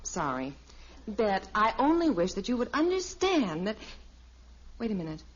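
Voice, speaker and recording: An animated, wry American sitcom mother. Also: mid-range voice, moderate pace. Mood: gently worried. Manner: reasoning, gently wry. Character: patient, wry suburban wife and mother. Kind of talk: 1950s radio sitcom acting. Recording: muffled old radio recording.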